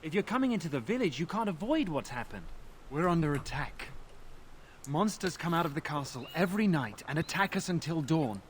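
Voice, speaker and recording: Frightened young villager, high voice, animated intonation, polite and earnest, fantasy video game. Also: male voice, fairly quick. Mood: grim, alarmed. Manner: earnest, anxious. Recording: game audio with clear ambient noise.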